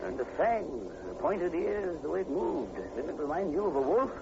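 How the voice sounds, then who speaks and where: Scholarly investigator professor, vintage radio drama. Lively intonation, creaky edge, measured and inquisitive delivery on a muffled old recording. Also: male voice, a little high, heavy background noise. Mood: urgent, excited. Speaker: actor in a radio play.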